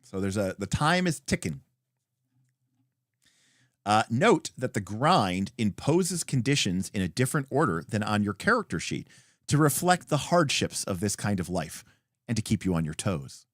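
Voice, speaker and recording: A man with a fairly low voice reads rules text aloud as a host. His intonation swings widely, with emphatic, deliberate phrasing and short explanatory asides. The sound is dry, with very little room in it. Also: no echo. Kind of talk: reading a game rulebook aloud with brief asides.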